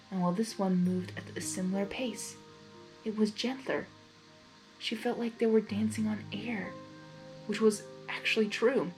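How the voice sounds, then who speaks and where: A woman reading fan fiction aloud in an informal, excitable way, with casual reaction asides between the narration. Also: medium pitch, poor audio.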